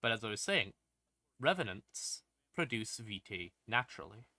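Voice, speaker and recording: Guy streamer explaining lore, bright punchy voice, dry close sound, stop and start phrasing, kinda wry and casual. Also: lowish voice, very animated, no room sound. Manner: halting phrasing with pauses and emphatic stresses. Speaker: livestream host.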